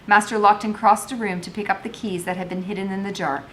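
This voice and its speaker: A woman's voice: mid-range voice, fairly even intonation, fast talker, a bit bright, slight background noise. A woman teacher reading a novel aloud, moving between narration and informal explanatory commentary.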